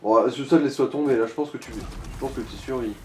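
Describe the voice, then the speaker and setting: A man's voice: medium pitch, quick pace, roomy sound, a little hiss or hum. A man giving casual, sweary gaming commentary as he talks a co-player through directions. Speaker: co-host of a gaming let's play.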